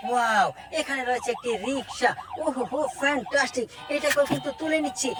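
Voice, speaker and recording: A woman presenting toys to viewers in an exclamatory way, with short phrases and frequent wows. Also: sits high. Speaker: presenter of a toy video. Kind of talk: toy showcase narration for viewers.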